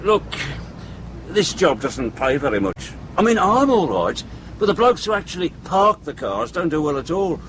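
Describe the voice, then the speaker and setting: A British actor playing a shifty, evasive car park manager in a radio drama, talking defensively and colloquially. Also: male voice, on the high side, very animated, normal speed, fairly bright tone, slight background noise. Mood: nervous, evasive. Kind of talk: broadcast radio drama acting.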